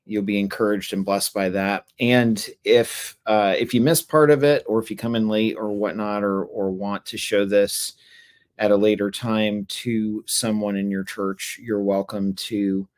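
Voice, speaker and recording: Male webinar host, lowish voice, going hoarse, chatty and full of fillers. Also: fairly even intonation. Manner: halting, many fillers and restarts. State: losing his voice. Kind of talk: casual webinar talk.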